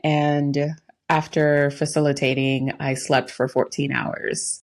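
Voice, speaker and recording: Lady podcaster w a low voice, casual chat, informal little asides. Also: little pitch movement, slow. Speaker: podcast co-host, coach.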